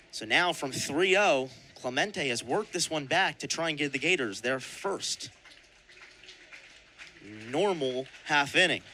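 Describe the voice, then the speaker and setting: A young man calling baseball play-by-play for radio in crisp announcer phrasing with punched-up calls. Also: a little high, pushed and bright, slight background noise. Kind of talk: live radio sports commentary.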